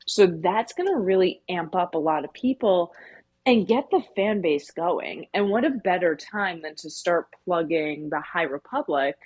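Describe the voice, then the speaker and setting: A woman's voice: fairly low pitch, animated, unhurried, a little mellow, husky, dry recording. A woman co-hosting a podcast, explaining things casually in long winding sentences. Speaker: podcast co-host.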